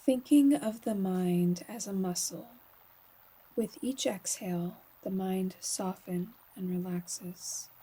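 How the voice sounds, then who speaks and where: A woman guiding a slow meditation in a soft, breathy voice, often near a whisper, calm and soothing, with long pauses. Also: medium pitch. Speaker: yoga nidra guide.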